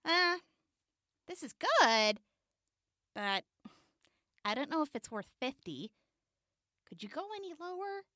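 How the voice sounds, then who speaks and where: A woman playing a careful wasteland traveler in an audio drama, expressive and questioning as she haggles over supplies. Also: high voice, takes it slowly, long pauses, no room sound, clean studio sound, heavily acted. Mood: curious, wary. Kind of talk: post-apocalyptic audio drama acting.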